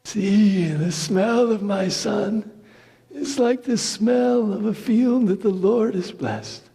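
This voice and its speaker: A man playing a solemn old blind father who gives slow, weighty blessings in a dramatic scripture reading. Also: high-pitched, vocal fry, warm tone, breathy edge, voice pushed up, full character voice. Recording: reverberant church hall.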